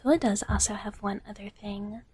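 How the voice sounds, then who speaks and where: A woman voicing a sweet, gentle dragon big sister in live ASMR, whispering softly and haltingly and often repeating her phrases. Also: medium pitch, fairly even intonation. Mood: soft, reassuring. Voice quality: soft, breathy whisper. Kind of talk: whispered live ASMR roleplay, chatting with viewers.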